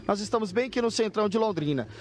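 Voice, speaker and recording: A man's voice: sits high, animated, racing, soft background music. A Brazilian man reporting live for TV news from a city street, describing the scene as he points things out. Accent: Brazilian Portuguese. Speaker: field reporter.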